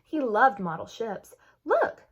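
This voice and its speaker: A woman voicing a warm, nostalgic father in a storybook, exclaiming gently and wistfully. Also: a little high, wildly swooping pitch, slow pace, husky, muffled recording, heavily acted. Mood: fondly nostalgic.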